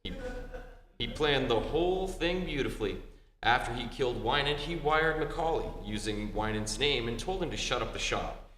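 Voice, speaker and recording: An actor playing a shrewd, wisecracking detective who explains things drily in an old-time radio play. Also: male voice, medium pitch, animated, fairly bright tone, close to an everyday voice. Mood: shrewd, confident. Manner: deliberate explaining, dry wisecracks. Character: shrewd, wisecracking male detective unmasking a killer. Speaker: amateur stage actor. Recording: echoey hall with faint hum.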